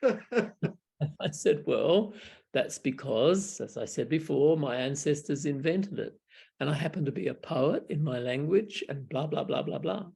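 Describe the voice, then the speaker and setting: Older Australian man, gravelly and husky. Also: a little high, animated, measured pace, mellow. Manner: reflective, wry, chuckling, frequent restarts. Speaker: Buddhist monk. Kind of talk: casual storytelling on a video call. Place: small room at home. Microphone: video call webcam.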